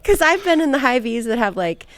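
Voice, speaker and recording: Female podcast host, American, informal and talkative, crisp with vocal fry, close dry sound. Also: fairly high pitch, sing-song, a little fast, no room sound. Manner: chatty, informal, peppered with "like". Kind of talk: casual conversational interview.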